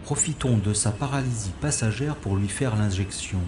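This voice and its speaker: A man playing a sardonic special agent in a science-fiction audio drama, with a mocking, theatrical and deliberate delivery. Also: fairly low pitch, background noise, lightly acted. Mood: musing, scornful. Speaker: lead actor and narrator of audio drama. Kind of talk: science-fiction audio drama acting with inner-monologue narration.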